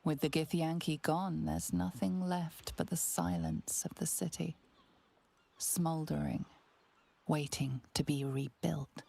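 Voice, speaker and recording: A woman playing a grave storyteller in a fantasy game, narrating slowly and solemnly with ominous weight and a husky, gravelly voice. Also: low voice, expressive, mellow, exaggerated voice. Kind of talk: dramatic second-person game narration.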